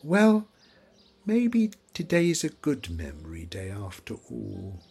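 A man's voice: fairly low pitch, wildly swooping pitch, takes it slowly, creaky, a little mellow, husky, full character voice. An older man acting in an audio play as a forgetful elderly widower, rambling fondly and wistfully through memories. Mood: brighter, surprised. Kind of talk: audio drama acting, reminiscing.

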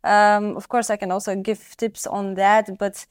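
A woman's voice: medium pitch, level tone, unhurried, dry recording, clean studio sound. A woman hosting a podcast, interviewing her guest in a casual, conversational way. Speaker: podcast host.

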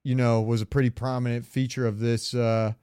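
A man hosting a podcast, giving casual fan commentary in a smooth, clear voice. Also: medium pitch, flat delivery, fairly quick, warm tone, no echo, clean studio sound. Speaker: podcast host.

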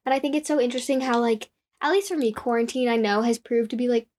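Young female podcast host, higher-pitched, casual conversational delivery full of "like". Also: quick pace, a little mellow. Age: young adult. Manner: conversational, heavy use of "like". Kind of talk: casual chat.

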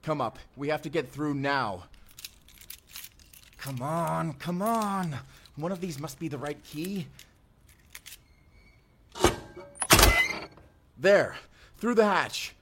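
A man's voice: fairly high pitch, slow, background noise. A man playing a young, friendly time traveler in an audio roleplay, talking casually and intimately straight to the listener. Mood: urgent, panicked. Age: young adult. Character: friendly, chatty male time traveler, college-aged-looking, eager and cautious. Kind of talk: first-person immersive audio roleplay acting.

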